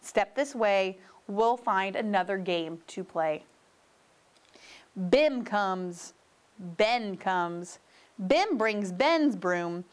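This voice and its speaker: A woman's voice: mid-range voice, lively intonation, extremely slow, clear, resonant tone, pitched below the performer's own voice. A woman voicing a playful, insistent male storybook fox who coaxes with tongue-twisting patter. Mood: eager.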